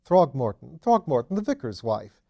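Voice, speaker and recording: Older aristocratic mother for audiobook, played by a man: chatty, refined, kindly, soft mellow tone. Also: mid-range voice, very animated, moderate pace, vocal fry. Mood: chatty, concerned. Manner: gossipy, genteel, fussing kindly. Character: genteel dowager duchess, older mother, chatty. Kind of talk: audiobook character dialogue.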